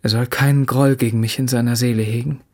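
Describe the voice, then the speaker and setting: Male actor playing young bereaved son, mid-pitched voice, respectful, measured, formal, radio drama. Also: steady tone, dark tone, natural voice. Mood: solemn, restrained. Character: bereaved son, respectfully relaying his father's last words. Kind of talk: German radio play acting.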